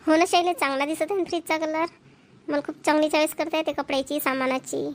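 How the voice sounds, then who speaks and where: A woman's voice: high voice, steady tone, quick pace, lightly acted. A woman voicing a proud young wife, with a pleased, chatty manner.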